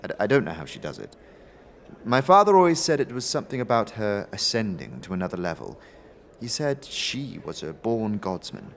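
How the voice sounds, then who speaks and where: A man's voice: fairly low pitch, big pitch swings, a little fast, a little hiss or hum. A man playing a nervous, melancholy young worker in an audio drama, hesitant and stammering, with background music. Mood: halting, awed. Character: nervous, subdued, melancholy young male worker, simple and grateful.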